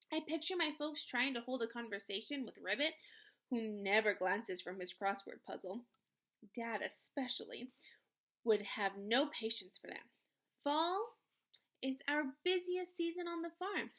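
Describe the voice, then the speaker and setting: A woman reading a children's novel aloud in an expressive, emphatic storytelling manner. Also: high voice. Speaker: read-aloud reader, teacher.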